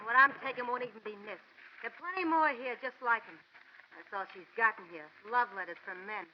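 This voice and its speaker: A woman's voice: fairly high pitch, a little fast, close to an everyday voice. An actress playing a tough, bitter young gunwoman in a vintage radio drama, hard and commanding in manner. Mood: bitter, defiant. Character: tough young gun-toting woman, bitter and defiant. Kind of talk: 1940s-50s radio drama acting. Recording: muffled old radio recording, faint noise.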